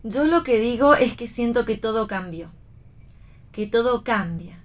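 Higher-voiced, stoic Argentine woman. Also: lively intonation, unhurried. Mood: resolute. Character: stoic, plain-spoken pregnant woman, quietly hopeful. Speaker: actress in a read radio play. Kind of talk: read radio theatre dialogue.

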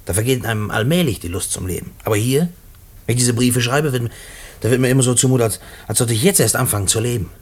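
An actor in a German radio play playing a gruff, despairing convict who speaks colloquially and haltingly. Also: male voice, mid-range voice, natural voice. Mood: despairing, agitated. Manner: colloquial, halting, repeating himself when agitated. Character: gruff, despairing convict writing letters to his mother.

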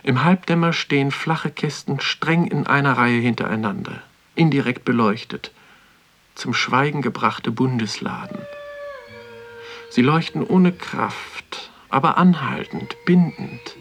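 A man playing a brooding museum visitor in a radio drama, narrating his observations in a deliberate, solemn literary monologue. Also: medium pitch, fairly bright tone. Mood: solemn, contemplative. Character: brooding male museum visitor musing on dead exhibits.

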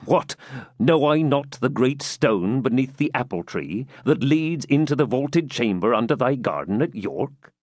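Man playing an animated, commanding outlaw captain. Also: mid-range voice, unhurried, dry recording, studio quality. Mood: grave counsel. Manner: grave, commanding, archaic speech. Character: bold, plain-spoken, generous English outlaw captain, male.

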